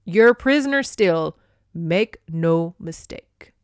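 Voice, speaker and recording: A woman's voice: on the low side, lively intonation, slow pace, a little mellow, clean tone, no echo, clean studio sound. A woman putting on a stern Scottish clan chief. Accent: put-on Scottish. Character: stern flirty male Scottish clan leader.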